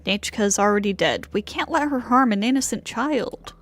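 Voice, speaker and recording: An actress playing a serious young heroine in a video game, speaking bluntly and firmly. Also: female voice, on the high side, expressive, moderate pace. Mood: firm, urgent. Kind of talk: dramatic video game voice acting.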